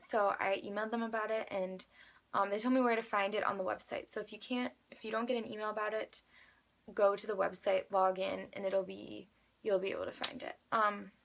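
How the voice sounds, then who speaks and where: A young woman of eighteen speaks with an American accent in a mid-range voice with plenty of vocal fry. She tells her story casually, as if chatting to a friend. Her delivery rambles, with frequent filler words and backtracking. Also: mid-range voice, a bit bright. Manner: chatty, rambling, youthful filler-laden speech. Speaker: vlogger, amateur singer. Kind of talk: casual personal storytelling to camera.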